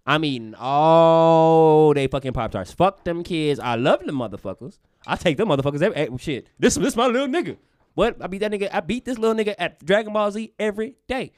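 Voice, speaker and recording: A young man in his twenties co-hosts a casual studio podcast. His pitch sits a little high and swings widely as he jokes. He swears freely and laughs often. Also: fairly quick, no room sound. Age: 20s. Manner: animated, profane, laughs a lot. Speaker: podcast co-host. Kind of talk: casual chat. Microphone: studio microphone.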